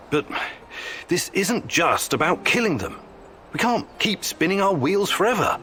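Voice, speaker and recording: A man's voice: medium pitch, bright, forceful tone, a little hiss or hum. An actor playing a serious, weary male mission leader in a video game, with a dry, earnest, terse manner. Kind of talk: video game character acting.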